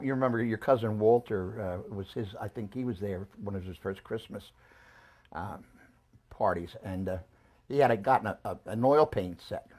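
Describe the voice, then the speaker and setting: An older man being interviewed about his family, talking in a halting, casual way with frequent restarts and chuckles, his voice growing hoarse. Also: mid-range voice, darkish tone. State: losing his voice. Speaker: interviewee recalling family history.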